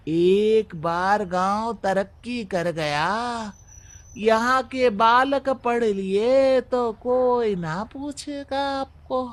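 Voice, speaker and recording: A man's voice: sits high, drawn-out words. A man playing a sly, scheming advisor to a village headman in a cartoon moral story, with a cunning manner.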